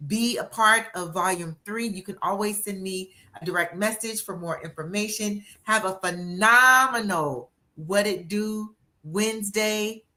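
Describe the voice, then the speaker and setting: A woman in her sixties hosting a live-stream interview, energetic and encouraging with a motivational push. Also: mid-range voice, unhurried, few pauses. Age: 60s. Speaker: interviewer and book coach.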